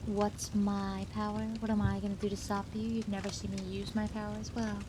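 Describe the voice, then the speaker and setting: A woman's voice: medium pitch, level tone, normal speed, noisy background, lightly acted. A woman playing an unhinged, gleeful female supervillain in an ASMR roleplay, teasing a captive in a theatrical, giggly sing-song way. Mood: smug, teasing.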